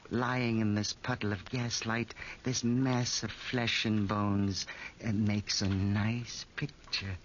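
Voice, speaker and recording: A man's voice: fairly low pitch, little pitch movement, slow pace. An actor playing a sinister fat man, a pompous connoisseur of murder who talks hesitantly and with relish, in 1940s radio style. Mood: morbid relish. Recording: muffled, hissy 1940s radio recording.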